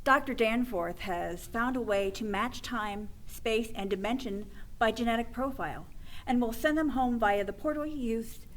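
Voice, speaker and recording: Cold female scientist in charge, woman actor, radio drama, higher register, curt authority. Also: normal speed, lightly acted, poor audio. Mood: curt, businesslike. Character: cold, commanding woman scientist running a lab. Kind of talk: superhero comedy radio drama acting.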